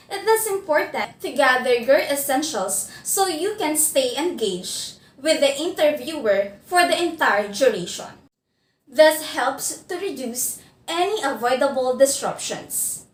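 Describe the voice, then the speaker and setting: A woman presenting a scripted instructional video, giving phone interview tips in a measured, clear delivery. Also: sits high, echoey room, recorded from a distance.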